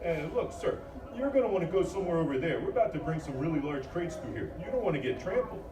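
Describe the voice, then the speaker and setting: An actor playing a busy male ship's crew hand, brisk, casual and bossy, in a live audio drama. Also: on the high side, animated, natural voice. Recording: echoey live stage, distant mic, crowd.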